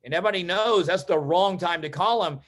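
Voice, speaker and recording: An American man from Texas tells personal stories as a guest in an online meeting, in an informal, animated way that often acts out dialogue. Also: high-pitched, quick pace. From Texas, United States. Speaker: interview guest, real estate agent and coach.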